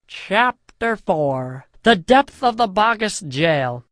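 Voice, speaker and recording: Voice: medium pitch, very animated, takes it slowly, fairly bright tone, no room sound, studio quality. A storyteller reading a scripted comic fantasy parody in a mock-epic way, drawing out the chapter headings.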